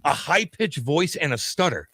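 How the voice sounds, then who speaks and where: A man co-hosting a sports talk radio show, casual banter, loud and emphatic, repeating his points as he argues. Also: on the high side, big pitch swings, moderate pace, dry recording.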